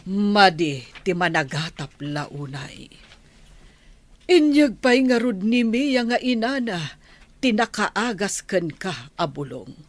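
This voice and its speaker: A woman playing a concerned, gently scolding mother in a radio drama. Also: medium pitch, lively intonation, slow pace, dry recording.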